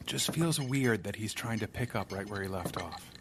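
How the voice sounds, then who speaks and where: A man's voice: lowish voice, background noise. A man playing a wistful former adventurer. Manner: reflective, wistful. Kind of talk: audio drama acting.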